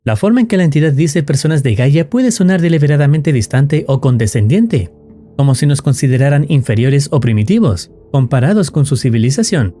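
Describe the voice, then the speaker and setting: A man's voice: fairly high pitch, lively intonation, fairly quick, dark tone, soft background music. A man reading scripted narration in the role of a storyteller explaining a tale.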